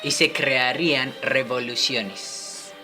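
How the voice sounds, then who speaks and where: Scholarly medieval explainer played by a man, mid-pitched, didactic scripted delivery, music clearly underneath. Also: fairly even intonation, takes it slowly, lightly acted. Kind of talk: amateur educational radio play.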